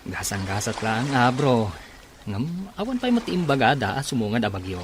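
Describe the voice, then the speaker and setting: A man's voice: medium pitch, very animated, slight background noise, natural voice. A man with an Ilocano accent playing an easygoing seaman in a radio drama. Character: easygoing seaman at sea, joking with crewmate. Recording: loud rushing water sound effects.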